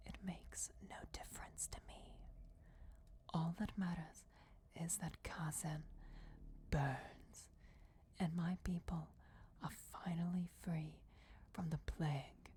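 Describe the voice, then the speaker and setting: A woman's voice: fairly low pitch, mellow, slight background noise, lightly acted. A woman voicing a regal, commanding vampire goddess in a solemn whispered fantasy ASMR roleplay. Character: ancient vampire goddess, commanding, softly menacing, bestowing destiny.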